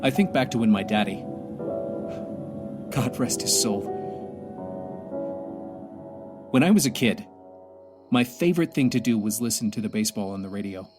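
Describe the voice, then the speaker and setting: A man playing a weary, grieving astronaut commander stranded alone, speaking an earnest, halting audio drama monologue. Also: fairly low pitch, animated, music in the background, lightly acted. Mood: wistful.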